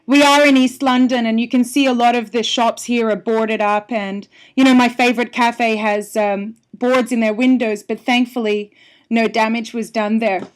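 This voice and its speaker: A woman's voice: a little high, fairly even intonation, moderate pace. A woman from Australia, a singer-songwriter, talking in a friendly, chatty way as a guest on a phone-in radio interview. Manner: friendly, chatty, laughs often. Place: home in London. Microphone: telephone.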